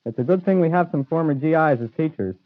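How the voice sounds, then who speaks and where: A teenage American student reporter reading scripted news stiffly on an old lo-fi 1940s radio broadcast. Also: male voice, fairly high pitch, quick pace. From United States. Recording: dull lo-fi 1940s radio recording.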